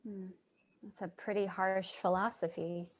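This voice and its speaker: A woman's voice: mid-range voice, flat delivery, a little slow, close to an everyday voice. A woman playing a sympathetic, curious narrator in a play reading, speaking in an earnest, reflective way. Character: Charlie, sympathetic, curious woman narrating her street encounters. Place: remote video call reading.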